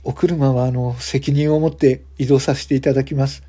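A man's voice: mid-range voice, level tone, normal speed, dark tone, husky, natural voice. A man voicing a deferential hotel manager who speaks in formal, apologetic language. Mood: flustered.

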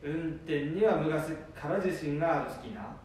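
A man's voice: fairly high pitch, fairly even intonation, normal speed, darkish tone, a little hiss or hum, close to an everyday voice. A man playing a stubborn, boastful old grandpa in an amateur radio drama, grumbling in a Nanbu dialect of northern Japan. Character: stubborn, overconfident old grandpa behind the wheel. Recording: echoey room, distant from the mic.

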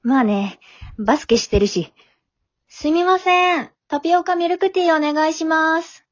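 A woman playing a casual high school girl who is reserved with her father, in an amateur radio drama. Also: normal speed. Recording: dull remote home recording.

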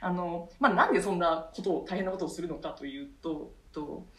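A woman's voice: on the low side, fairly quick, fairly bright tone. A woman, an actress co-hosting a radio show, explaining casually among colleagues. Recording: echoey room, distant mic.

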